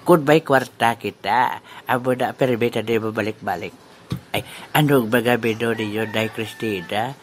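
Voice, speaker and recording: Man as excitable loudmouth customer, stammers, noisy backdrop. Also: medium pitch, normal speed, natural voice. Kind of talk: amateur audio drama.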